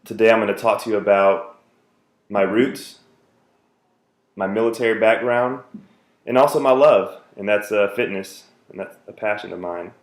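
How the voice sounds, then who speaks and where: A man's voice: fairly low pitch, moderate pace, pauses often, echoey room. A man in his thirties, from the United States, giving an earnest self-introduction speech for a class, with many fillers and restarts.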